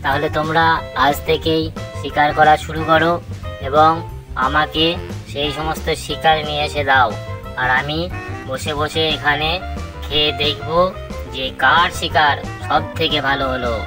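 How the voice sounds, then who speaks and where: A woman plays a sly fox scholar with a very low pitch for a woman. The clever creature talks smoothly and schemes while posing as judge in a kids' cartoon, with clear music behind. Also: level tone, a little slow, pauses often. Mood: sly explaining. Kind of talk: children's cartoon voice acting in Bengali fable.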